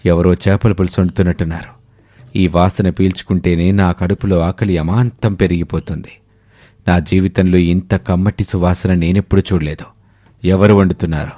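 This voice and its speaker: A man as a deep-voiced, fussy village headman. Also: steady tone, normal speed, warm tone, no room sound. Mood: craving. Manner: grumbling, insistent.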